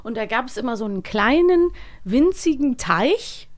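A woman's voice: on the high side, sing-song, normal speed. A woman co-hosting a podcast, chatting casually and sharing anecdotes in a studio. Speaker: podcast co-host, voice actress.

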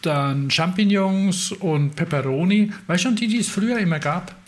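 A man's voice: high-pitched, moderate pace. A man co-hosting a casual chat in a loose, meandering way, trailing off into vague asides.

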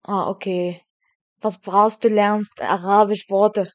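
A young woman whose first language is Kurdish, an intern training as a kindergarten teacher. She chats in a halting way with broken grammar. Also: medium pitch, flat delivery. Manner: halting non-native speech with broken grammar. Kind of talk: casual interview chat.

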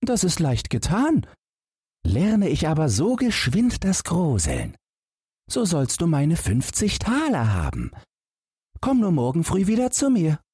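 A man's voice: on the high side, huge pitch swings, unhurried, warm tone, breathy edge, no echo, clean studio sound, pitched above the performer's own voice, full character voice. A man voicing a naive, carefree boy in a storybook reading, guileless and earnest in the way he talks. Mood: eager, naive. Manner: guileless, matter-of-fact, earnest. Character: naive, carefree boy who wants to learn to shudder.

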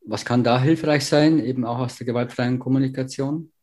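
A man interviewing a guest, asking calm, brief questions and giving soft affirmations. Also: medium pitch, brisk.

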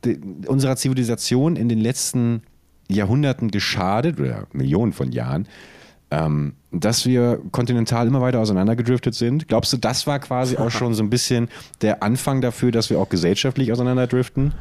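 A man's voice: medium pitch, brisk, darkish tone, no echo. A man co-hosting a podcast in casual chat, with a probing, questioning way of talking. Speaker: podcast co-host.

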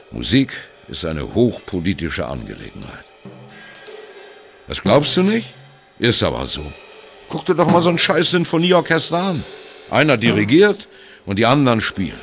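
An actor playing an aging, gruff free jazz musician in an audio drama monologue, blunt, wry and reflective, with a gravelly voice. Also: male voice, fairly low pitch, full character voice. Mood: defiant, blunt. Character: aging male free jazz veteran, gruff, irreverent, wistful.

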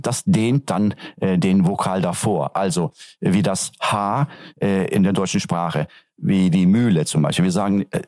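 A man's voice: low-pitched, mellow, dry recording, studio quality. A man from Turkey, a teacher, answering interview questions in a casual, hesitant way with many fillers. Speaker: guest, teacher.

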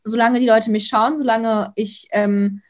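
Young woman, influencer guest, extroverted, quick bursts, filler-heavy casual talk, higher voice. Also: fairly even intonation, slight background noise. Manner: outgoing, talks in quick bursts with many fillers. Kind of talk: casual interview.

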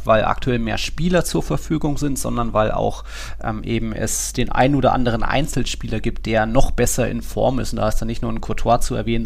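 A man's voice: mid-range voice, gravelly. A man giving casual football analysis as a podcast pundit, talking colloquially in long run-on explanations.

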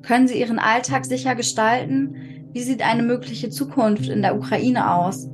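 A woman hosting a podcast, reading a scripted introduction and then gently interviewing her guest, rephrasing her answers. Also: a little high, steady tone, normal speed, over music. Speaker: podcast host.

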